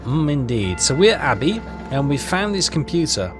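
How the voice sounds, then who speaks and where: A man's voice: a little high, big pitch swings, normal speed, over music. A man hosting a casual game walkthrough, hesitant and rambling as he thinks aloud. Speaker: gaming video host.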